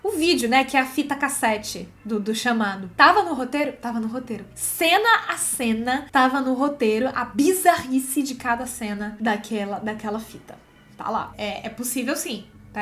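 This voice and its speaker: A Brazilian woman with a notably high voice teaches screenwriting to viewers. Her delivery is chatty and expressive, with frequent little check-in tags as she explains and reads aloud. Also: moderate pace. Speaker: screenwriting teacher and video host. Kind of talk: casual explanatory commentary with script read-aloud.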